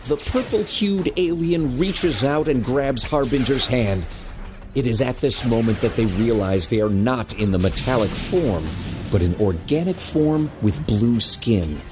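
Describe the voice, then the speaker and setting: A man narrating a scripted audio drama in a measured, dramatic way. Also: medium pitch, expressive, music in the background.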